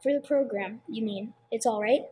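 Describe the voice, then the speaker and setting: A woman playing a humble, anxious male suspect in an amateur radio play, halting and apologetic in plain folksy speech. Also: fairly high pitch, mellow, slight background noise, natural voice. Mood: meek, anxious.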